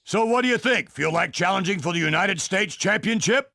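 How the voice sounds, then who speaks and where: An actor playing an angry, domineering older wrestling boss in a game cutscene, gravelly, belligerent and imperious. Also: male voice, high-pitched, lively intonation, fairly quick, bright, forceful tone, heavily acted. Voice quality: gravelly, hoarse. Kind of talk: video game cutscene acting.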